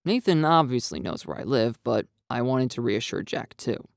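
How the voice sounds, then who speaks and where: A man narrating a first-person visual novel with an expressive storytelling delivery. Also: mid-range voice, moderate pace, creaky, dry recording, studio quality.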